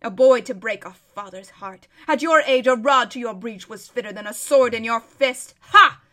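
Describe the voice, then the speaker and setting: Woman doing a gruff, forceful stern old king. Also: fairly high pitch, normal speed. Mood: gruff scolding. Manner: stately, archaic, grumbling. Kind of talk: storybook character voice.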